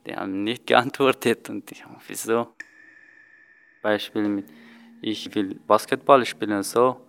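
A man with a smooth, clear, fairly low voice gives a personal account as a non-native speaker. His phrasing is halting and simple. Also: a bit bright. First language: not German.

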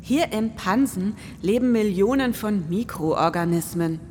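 A woman's voice: mid-range voice, expressive, measured pace, a little mellow, slight background noise. A woman in her forties co-hosting a scripted, performative podcast with an explanatory delivery. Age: 40s. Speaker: podcast co-host. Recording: long reverb, music underneath.

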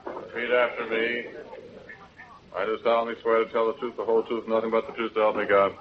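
An actor playing an officious court bailiff with formulaic delivery in an old radio drama. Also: male voice, on the low side, monotone, fairly quick, lightly acted. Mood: droning. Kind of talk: 1930s radio acting. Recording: muffled, noisy 1930s radio recording.

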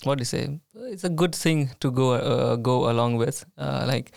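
A man hosting a relaxed conversation, his voice carrying a gravelly, creaky texture. He sounds friendly and unscripted, often stopping on "uh" between thoughts. The recording is dry and close, with little room sound. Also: medium pitch, moderate pace, dry recording. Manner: friendly, frequent "uh" fillers. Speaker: podcast host. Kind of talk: casual interview chat.

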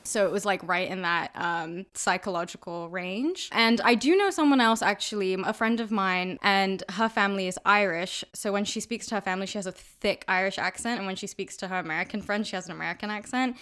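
A woman's voice: mid-range voice, fairly quick, hardly any pauses, a bit bright. A woman with a British accent speaking as the host of an interview podcast. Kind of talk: scripted introduction and casual interview chat.

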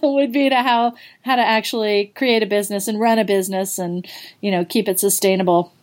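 A woman interviewing a guest in a casual, curious way, chatty and quick to laugh. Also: medium pitch, steady tone. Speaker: interviewer, host.

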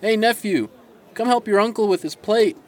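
An actor playing a jovial, food-loving uncle, playful and folksy, in an audio drama. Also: male voice, sits high, moderate pace, natural voice. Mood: jovial, bustling.

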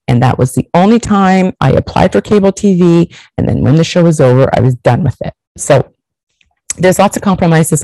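A middle-aged woman from Portugal talks straight to her viewers with blunt emphasis and the odd swear word. Her voice is warm and mellow, and the close recording is dry with no room sound. She speaks in a candid, advice-giving monologue. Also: on the low side, no echo, low-quality recording. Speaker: vlogger.

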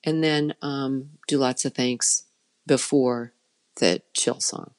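A middle-aged woman with a soft voice, a children's musician chatting casually in an interview and often trailing off and restarting. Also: extremely low pitch, fairly even intonation, slow.

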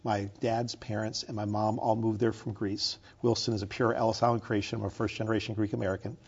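A man's voice: lowish voice, level tone, quick pace, gravelly, slightly rough, dull audio. A man from upstate New York, a candidate for governor, giving confident, polished answers in an interview. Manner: confident, polished talking points. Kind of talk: campaign interview.

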